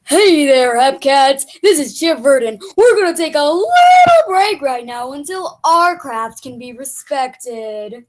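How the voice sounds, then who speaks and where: A woman's voice: sits high, lively intonation, unhurried, few pauses. A woman playing an aggrieved, hot-headed bandleader devoted to his chickens in a comic old-time radio play. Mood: showy, wounded.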